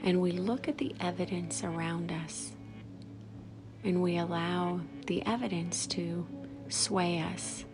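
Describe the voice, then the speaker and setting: A woman leading a guided meditation, speaking softly and soothingly in short phrases with long pauses and breathy, whispery endings. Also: fairly low pitch. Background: background music.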